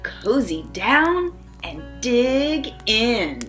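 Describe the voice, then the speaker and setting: Woman acting storybook badger, eager, grinning, bouncy singsong, lively high pitch, background music. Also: very slow, heavily acted. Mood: eager, cheerful. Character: cheerful, grinning, food-loving storybook badger.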